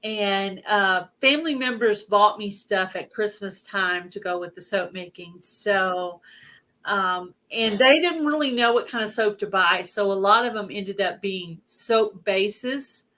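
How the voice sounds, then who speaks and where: A woman's voice: medium pitch, measured pace, sharp tone. A woman hosting a casual livestream chat, talking in a chatty way with lots of filler words. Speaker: host.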